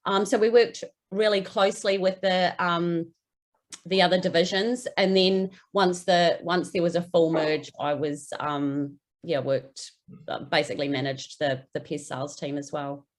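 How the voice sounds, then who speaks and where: A woman's voice: on the low side, fairly even intonation, a little slow. A woman in her forties with a New Zealand accent, a guest in a video interview, friendly and hesitating with many ums. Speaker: general manager, guest.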